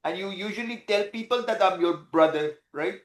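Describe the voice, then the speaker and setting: A man's voice: sits high, moderate pace, close to an everyday voice. A man with an Indian accent playing a grown son who humors his vain mother, hesitant and stammering. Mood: dutiful. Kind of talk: improvised comedy scene. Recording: roomy echo.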